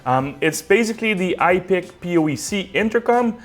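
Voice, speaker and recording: Higher-pitched man giving a tutorial. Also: animated, normal speed. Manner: conversational, explanatory, often checks with "okay" and "all right". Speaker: technical support director presenting a tutorial. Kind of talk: unscripted step-by-step software tutorial.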